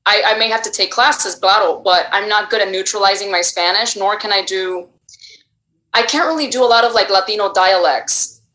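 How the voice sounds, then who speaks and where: Woman, Cuban Miami accent, voice actress, expressive and chatty, bright tone, echoey room. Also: medium pitch, normal speed. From Miami, United States. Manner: animated and expressive, laughs often. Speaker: voice actress and creator. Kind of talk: casual interview chat. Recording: roomy echo.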